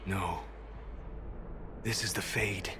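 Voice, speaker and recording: A man playing a calm, learned mystic in a fantasy video game, composed and reflective. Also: sits low, slow, noisy background. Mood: awed. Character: calm, learned male mystic, quietly awed. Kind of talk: dramatic video game acting.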